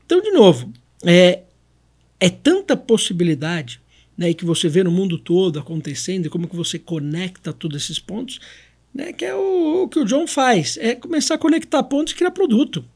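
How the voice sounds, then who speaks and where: A man's voice: high-pitched, very animated, moderate pace, darkish tone. A man with a Brazilian accent telling anecdotes casually as a podcast guest, in choppy phrases full of tag questions.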